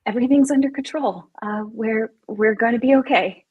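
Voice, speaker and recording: A woman's voice: a little high, normal speed, slightly rough, phone-quality sound. A woman reading the part of a frightened but take-charge young camp counselor in a live slasher script read. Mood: soothing, shaky calm.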